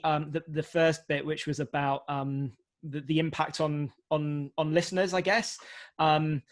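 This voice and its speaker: A man speaking as a panellist in a conversational way, haltingly, often repeating words as he works out his answer. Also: fairly high pitch. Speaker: prison radio broadcaster, panellist.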